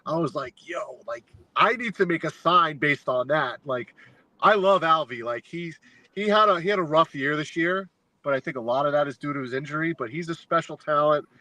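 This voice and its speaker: A man as a podcast guest, telling a long fan story in a casual, animated, rambling way with lots of filler words. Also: on the high side, moderate pace, no room sound, poor audio. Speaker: guest, fan sign maker.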